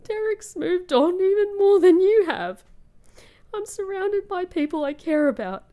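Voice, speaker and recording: A woman's voice: very high-pitched, expressive, measured pace, warm tone. A woman playing a hurt, bitter young woman home from captivity in an emotional audio drama. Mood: angry, anguished. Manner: emotional, dramatic, British slang.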